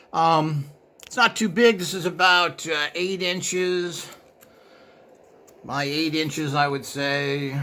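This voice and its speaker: A man gives an informal show-and-tell as presenter. His voice sits a little high, with a bright, forceful edge and plenty of creak. He wanders through his remarks with frequent hesitation. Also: a little hiss or hum.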